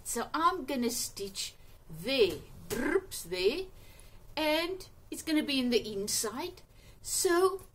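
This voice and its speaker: A woman in her fifties with an Afrikaans accent, chatting her way through a sewing tutorial in her home sewing room, upbeat and full of little exclamations. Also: sits high. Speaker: sewing channel host. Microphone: camera on a table, a few feet off.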